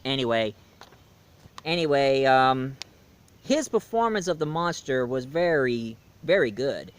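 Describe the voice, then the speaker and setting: A man's voice: medium pitch, lively intonation, unhurried, clean tone. A man giving a casual spoken film review, chatty and jokey as he retells the plot.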